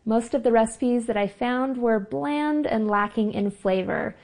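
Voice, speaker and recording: A woman with a slightly raised voice hosting a cooking show, upbeat and clear as she presents the recipe. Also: a little high, a little slow, a little mellow.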